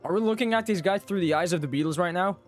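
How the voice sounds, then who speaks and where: Slightly high-pitched man streaming a game. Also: fast talker, loud music behind the voice. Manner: joking, repeats phrases. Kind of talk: casual live gameplay commentary.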